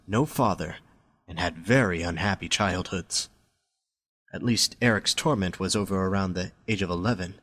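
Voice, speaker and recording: A man's voice: sits low, a bit bright. A man reading scripted story narration as the narrator of an amateur audio drama.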